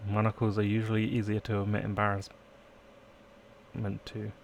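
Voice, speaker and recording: A man's voice: on the low side, monotone, normal speed, creaky, a little hiss or hum. A man live-streaming a let's play, reading game text aloud and chatting in playful asides. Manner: playful asides, trying out accents.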